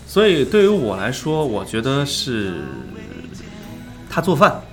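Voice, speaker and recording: A man's voice: mid-range voice, big pitch swings, unhurried, over loud music. A man, a voice actor, chatting casually in a group in a playful, eager way and often repeating his words. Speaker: voice actor guest. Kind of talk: casual group chat about recording an audio drama.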